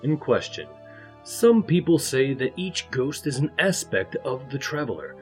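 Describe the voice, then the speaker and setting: A man's voice: medium pitch, big pitch swings, a little slow, husky, soft background music. A man narrating a scripted dramatic reading in a formal, announcing manner. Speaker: narrator and reader.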